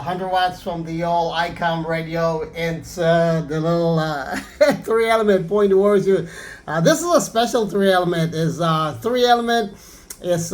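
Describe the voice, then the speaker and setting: A man with a high-pitched voice talks as an amateur radio operator. He keeps it casual and easygoing, like a friendly rag chew between operators. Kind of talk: casual radio contact chat.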